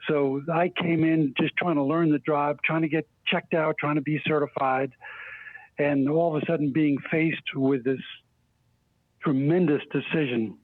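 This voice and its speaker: An older man, an interview guest, recalling his experiences in a halting, deliberate way over a remote call. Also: a little high, steady tone, no echo. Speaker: interview guest, former union spokesperson. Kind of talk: reflective personal recollection in interview. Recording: thin phone-like line, dry.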